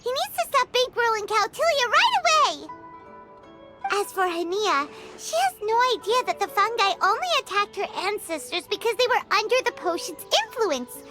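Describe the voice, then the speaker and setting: Squeaky, very high little fairy companion. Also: female voice, sing-song, moderate pace. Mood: indignant, realizing. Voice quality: squeaky, childlike. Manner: speaks of self in third person, exclamatory. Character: small excitable childlike fairy companion, chatty and earnest. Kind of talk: anime game voice acting. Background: music.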